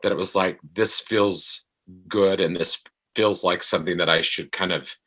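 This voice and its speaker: A middle-aged man, an actor being interviewed, speaks in a halting, thoughtful way and often repeats his phrases. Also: deep voice, fairly even intonation, fairly bright tone, slightly rough. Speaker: actor guest. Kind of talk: reflective interview conversation.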